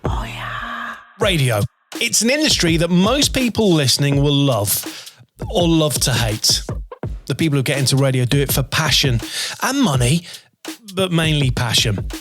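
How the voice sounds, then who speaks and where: A man's voice: on the high side, animated, normal speed, pauses often, fairly bright tone. Upbeat male podcast host over faint music. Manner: upbeat, laughs often, stumbles over questions. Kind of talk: scripted intro then casual interview.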